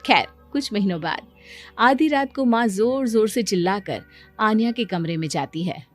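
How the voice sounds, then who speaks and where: A woman's voice: medium pitch, lively intonation, moderate pace. A woman giving scripted narration for a cartoon story. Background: music.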